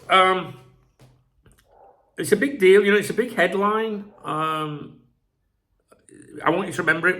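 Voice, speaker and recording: A man's voice: a little high, bright, forceful tone. A man hosting a football channel, chatting casually and rambling. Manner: rambling, chatty, clears throat often, swears casually. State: sore throat, a bit croaky. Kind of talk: casual chat commentary.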